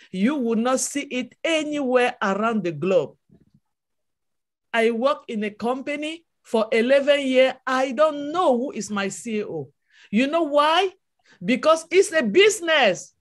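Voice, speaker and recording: Slow, passionate Cameroonian woman on a call. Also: high-pitched. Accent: Cameroonian French. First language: French. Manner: passionate, repetitive preaching cadence. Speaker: webinar speaker. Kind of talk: motivational testimony. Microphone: video call.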